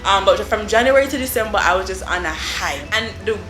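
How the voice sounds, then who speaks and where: Bright-voiced young Jamaican woman. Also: mid-range voice, fairly quick, roomy sound, slight background noise. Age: young adult. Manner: effusive, animated, lots of "I mean" and "like". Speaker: vlogger. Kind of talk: casual storytime.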